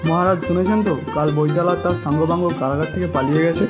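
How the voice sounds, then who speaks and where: A man's voice: on the high side, fairly even intonation, racing, loud music behind the voice, close to an everyday voice. A man voicing a loyal, plucky talking carrot, eager and dutiful, in a comedy cartoon. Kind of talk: Bengali comedy cartoon dubbing.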